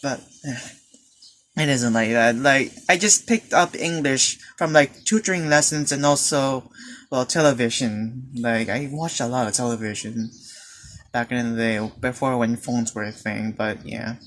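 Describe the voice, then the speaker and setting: A teenage Filipino man telling a personal story in a hesitant, halting way, with many fillers and restarts. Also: medium pitch, a bit bright, a little hiss or hum. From Philippines. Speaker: student. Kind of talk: personal confession monologue.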